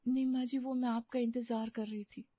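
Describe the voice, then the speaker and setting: A woman's voice: on the high side, little pitch movement, normal speed, dry recording, highs cut off, natural voice. A woman narrator voicing a meek, put-upon young daughter-in-law in a cartoon, deferential and hesitant. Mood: meek, startled. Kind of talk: animated moral-story cartoon acting.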